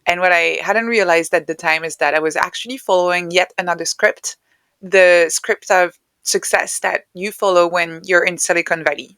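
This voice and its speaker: Bright-voiced woman, closely recorded and dry. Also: fairly low pitch, no room sound. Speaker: podcast guest, author and neuroscientist. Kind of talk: reflective interview conversation, personal storytelling.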